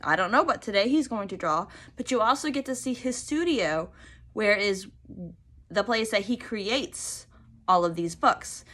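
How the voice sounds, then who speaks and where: Bright-voiced, slow-paced woman reading to children. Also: medium pitch, animated. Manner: deliberate enunciation, addressing small children with prompts and questions. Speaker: teacher reading to young children. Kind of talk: expressive children's picture book read-aloud.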